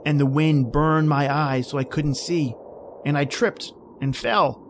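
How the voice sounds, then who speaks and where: A man's voice: on the high side, fairly even intonation, measured pace, a little hiss or hum. A man playing a haunted, panicky man stranded in polar cold, telling his ordeal in an old-time radio horror style. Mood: tense, fearful. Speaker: podcast host and actor.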